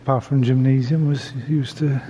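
A man's voice: mid-range voice, steady tone, normal speed, warm tone. A man vlogging as he tours, giving casual commentary with hesitant, musing asides. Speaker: travel vlogger. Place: old prison building and its grounds. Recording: handheld camera, noticeable background noise.

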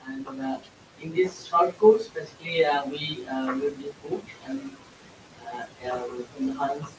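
A man from Bangladesh with a Bangladeshi accent, a course participant giving halting impromptu feedback and often rephrasing. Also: on the high side, expressive. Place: room with other participants. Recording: echoey room, far mic, faint hiss.

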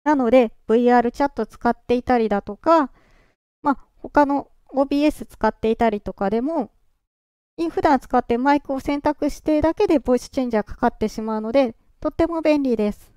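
Voice-changed, high-pitched, smooth tone, dry close sound, calm step-by-step tutorial. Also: fairly quick, no echo. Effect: pitch-raising voice changer. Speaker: software tutorial presenter. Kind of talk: screen-recorded software walkthrough.

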